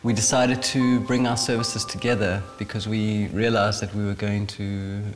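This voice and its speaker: A man talks through his path into healing work in a measured, low voice that barely moves in pitch. He pauses often with fillers. Music plays under him throughout. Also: little pitch movement. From South Africa. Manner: hesitant, with many fillers. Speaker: interviewee, therapist. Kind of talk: reflective interview.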